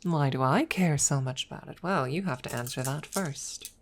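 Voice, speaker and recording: Woman acting as a proud dragon rival, low voice, slightly creaky, haughty teasing, soft ASMR roleplay delivery. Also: slight background noise. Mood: coy, vain. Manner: haughty, measured, teasing, with soft whispered moments. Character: proud, teasing dragon rival hiding affection, hoarder of treasure.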